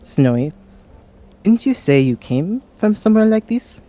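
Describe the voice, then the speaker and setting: A tabletop game player role-playing in a casual, sweary way. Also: female voice, mid-range voice, very animated, unhurried, warm tone. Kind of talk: casual in-character role-play.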